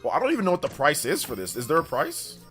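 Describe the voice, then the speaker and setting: A man's voice: on the high side, wildly swooping pitch, fast, a bit bright, faint music behind. A man in his fifties hosting a casual gaming livestream, rambling and correcting himself as he talks to chat, laughing often. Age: 50s. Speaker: livestream host.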